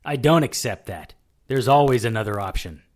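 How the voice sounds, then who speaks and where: Guy playing wry tough detective telling his story, audio drama, mid-pitched, very lively delivery. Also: normal speed, close to an everyday voice. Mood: defiant. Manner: wry, hard-boiled. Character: wry, impulsive, tough male supernatural detective narrator. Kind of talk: first-person audio drama narration and acting.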